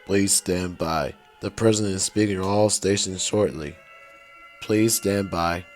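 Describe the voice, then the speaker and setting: A man's voice: low voice, unhurried, soft background music. A man's synthetic-sounding voice reading a scripted emergency broadcast announcement in a formal, stilted manner.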